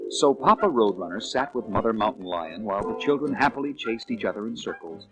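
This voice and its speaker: A man's voice: lowish voice, lively intonation, fairly quick, music in the background, rough recording. A man narrating a children's read-along story in a scripted storytelling style.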